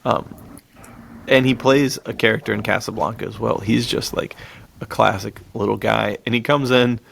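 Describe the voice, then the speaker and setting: A man's voice: lowish voice, fairly even intonation, normal speed, gravelly, a bit bright, slight background noise. A man co-hosting a podcast, retelling film plots in a casual, enthusiastic and slangy way. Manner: enthusiastic, chuckles as he talks, slangy. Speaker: podcast co-host.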